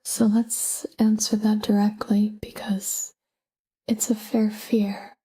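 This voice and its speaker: A woman speaks in a soft, breathy half-whisper, guiding a sleep meditation. Her delivery is slow and even, with a mellow tone that stays calm and gentle throughout. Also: mid-range voice, low-quality recording. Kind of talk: scripted guided sleep meditation, soft spoken.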